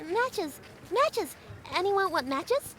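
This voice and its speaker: A woman playing a poor, gentle little girl selling matches in a fairy tale audio drama. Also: very high-pitched, very animated, slow pace, slight background noise, exaggerated voice. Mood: pleading.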